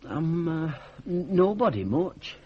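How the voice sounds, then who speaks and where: An actor playing a humble, soft, bumbling grown son in a radio drama, with a hesitant, apologetic Northern English delivery. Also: male voice, a little high, sing-song, unhurried, breathy edge, exaggerated voice. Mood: flustered, meek. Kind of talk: 1980s radio drama acting. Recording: dull lo-fi old radio recording.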